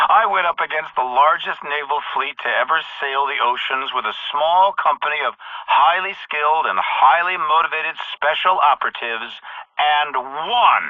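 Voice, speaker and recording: A man playing a ruthless, grandiose military commander in a game, delivering a deliberate, menacing, oratorical monologue through a radio-style filter. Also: medium pitch, no echo. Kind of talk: dramatic scripted audio-log monologue. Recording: tinny radio-like band, dry.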